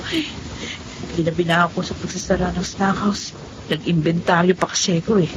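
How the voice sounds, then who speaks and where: An actress playing a proud, wronged young woman food seller in a Filipino radio drama, expressive and melodramatic. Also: on the low side, a little fast, noisy background, natural voice. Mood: weary.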